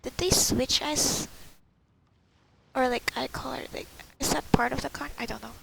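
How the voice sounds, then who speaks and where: A woman's voice: high voice, steady tone, normal speed, creaky, very noisy. A young woman giving casual gameplay commentary, rambling and thinking aloud. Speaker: gaming video player. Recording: game music loud behind voice. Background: video game music.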